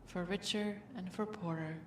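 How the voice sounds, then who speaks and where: A woman playing a calm, formal royal wedding officiant in an audio drama, speaking in measured, solemn phrases. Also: fairly low pitch, natural voice. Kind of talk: audio roleplay drama acting. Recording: echoey, distant mic, faint noise.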